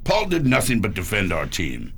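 A man's voice: lowish voice, huge pitch swings, moderate pace, creaky, slightly rough, exaggerated voice. A man playing a dismissive space exploration bureau chief in a science fiction radio drama, emphatic and official.

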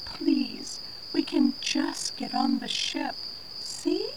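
Radio drama alien mother, played by a woman: gentle, imploring, unhurried delivery over heavy background noise. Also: sits high, animated, heavily acted. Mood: pleading. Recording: very noisy, clicks and insect sounds.

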